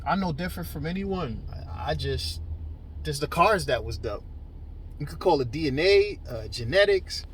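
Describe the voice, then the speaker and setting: Casual, slangy man with a higher-pitched voice. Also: expressive, moderate pace, slight background noise, low-quality recording. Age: early 40s. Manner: slangy, conversational, joking. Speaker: video blogger. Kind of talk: casual chat to camera.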